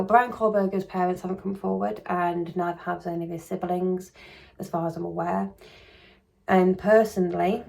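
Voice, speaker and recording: A woman talks through a true crime case in casual vlog commentary, her voice croaky and gravelly. She sits low and keeps a flat, even delivery. She rambles and hedges, restarting her sentences often. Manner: rambling and hedging, with frequent restarts. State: croaky voice coming on.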